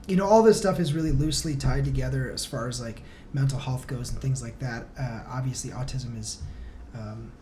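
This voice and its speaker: A man's voice: medium pitch, normal speed, warm tone. Earnest man in noisy casual interview. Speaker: podcast guest, musician. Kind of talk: casual conversational interview talk.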